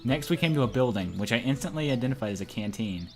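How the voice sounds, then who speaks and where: A man's voice: mid-range voice, expressive, fast talker. A young man reading visual novel narration aloud and joking with friends as he goes. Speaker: narrator in a group let's play. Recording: music behind the voice.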